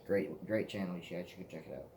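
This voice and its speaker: A man's voice: low voice, rapid-fire, a little mellow, slight background noise. A man in his twenties, hosting his own vlog in a loose, profane, meandering casual chat. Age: 20s. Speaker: vlog host.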